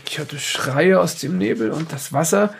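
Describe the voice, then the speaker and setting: A man playing a frightened, defensive scientist in a horror audio drama, speaking haltingly with a husky, gravelly voice. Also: a little high, slight background noise. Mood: haunted, shaken. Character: frightened, defensive male scientist recounting occult horrors under questioning. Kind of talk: improvised audio drama dialogue.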